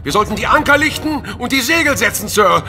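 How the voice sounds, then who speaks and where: A man's voice: very high-pitched, animated, fairly quick, bright, forceful tone, slight background noise, full character voice. An actor playing an urgent, obedient seafaring henchman in an audio drama, using sailor's turns of phrase. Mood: alarmed. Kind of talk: German audio drama acting.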